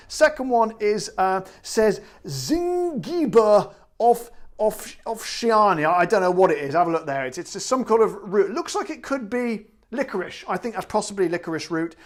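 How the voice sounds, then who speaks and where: A man with a British accent hosting a casual gin review, rambling and self-deprecating, often stumbling over words. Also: high voice. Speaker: gin review show host. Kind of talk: casual spoken product review.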